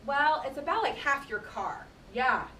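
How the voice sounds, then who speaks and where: A woman's voice: lively intonation, a little slow, pushed and bright. A woman teacher reading aloud to a class of children, explaining things conversationally and addressing them directly. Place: indoor room, speaking to a class. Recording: roomy, distant mic with rumbling noise.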